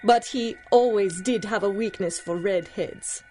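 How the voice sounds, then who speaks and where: Measured, enigmatic voodoo priestess, played by an actress. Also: female voice, medium pitch, dark tone, faint music behind, natural voice. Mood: teasing, guarded. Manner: measured, formal, knowing. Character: ageless, enigmatic voodoo priestess, proud, worldly and teasing. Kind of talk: 1930s-style radio drama acting.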